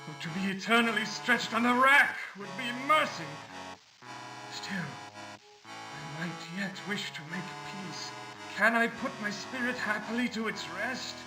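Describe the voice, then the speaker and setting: A man's voice: high voice, animated, a little slow. A man voicing a bitter old fallen paladin's ghost in a video game, speaking solemn and grandiose lines. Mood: mournful. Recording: echoey game audio over music.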